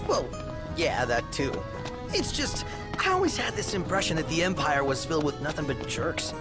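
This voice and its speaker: An actor playing an excitable young male sidekick in a video game, eager and informal. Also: on the high side, moderate pace, over loud music. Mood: smitten, flustered. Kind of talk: video game cutscene acting.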